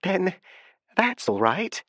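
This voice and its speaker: A man reading an audiobook, voicing a nervous, agitated young woman who speaks in a high, hurried way. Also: sing-song, fairly bright tone, no room sound, studio quality, heavily acted.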